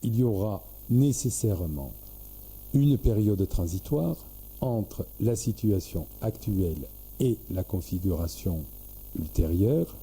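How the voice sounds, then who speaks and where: A man giving a formal, prepared explanation in a measured, halting way, in short administrative phrases. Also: lowish voice, expressive, dark tone, breathy edge. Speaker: local government official.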